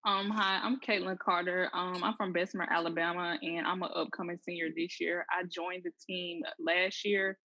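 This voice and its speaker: A woman's voice: fairly low pitch, little pitch movement, normal speed, few pauses, pushed and bright, dry recording. A young woman in her twenties, a college player, introducing herself in a shy way. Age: 20s. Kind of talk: casual self-introduction. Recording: dry, muffled audio.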